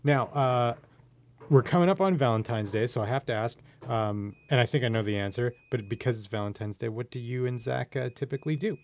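A man hosting a podcast, putting casual quick-fire questions to a guest in a playful, teasing way. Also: mid-range voice, darkish tone, slight background noise. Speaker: podcast host.

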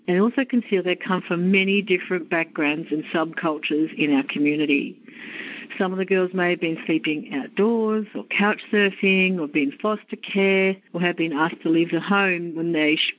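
A woman with an Australian accent, speaking as an education coordinator in a podcast interview, explaining her work in an earnest, measured way. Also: lowish voice, no room sound, lo-fi sound. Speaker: education coordinator at a maternity home.

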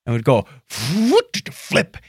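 A man's voice: mid-range voice, huge pitch swings, drawn-out words, slightly rough, no echo, studio quality. A man in his fifties co-hosting a podcast in casual, jokey banter, acting out little quotes as he talks. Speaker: podcast co-host. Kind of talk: casual banter and listener feedback.

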